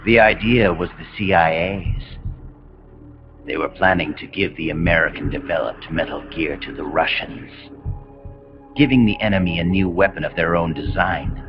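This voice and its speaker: An actor playing a cold, commanding rogue officer in a video game, speaking in measured, imperious monologues. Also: male voice, sits low, natural voice. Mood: calm, lecturing. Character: cold, commanding male rogue officer, grandiose engineered super-soldier. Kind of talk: dramatic video game voice acting. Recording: dull compressed game audio under loud music.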